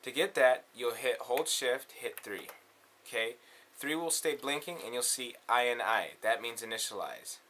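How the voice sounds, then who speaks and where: A man's voice: medium pitch, lively intonation, moderate pace, sharp tone, slight background noise. A man presenting a casual step-by-step synthesizer tutorial in an instructional way, often checking in with the listener.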